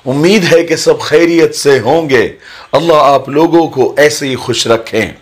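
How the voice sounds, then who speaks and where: A hoarse-voiced man telling a religious story to his online viewers, greeting them and speaking slowly and deliberately. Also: fairly high pitch. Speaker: Islamic storyteller and preacher.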